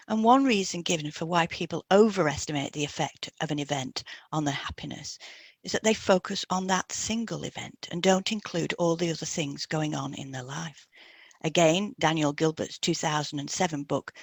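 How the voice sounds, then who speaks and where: A woman's voice: low voice, no room sound. A woman co-hosting an educational podcast, reading from a prepared script. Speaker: podcast co-host, hypnotherapist.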